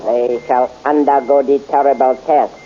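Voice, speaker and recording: A man's voice: mid-range voice, steady tone, moderate pace, warm tone, natural voice. An actor playing a cruel, haughty male tyrant emperor in a 1930s radio serial, commanding and menacing, on a muffled old recording with hiss.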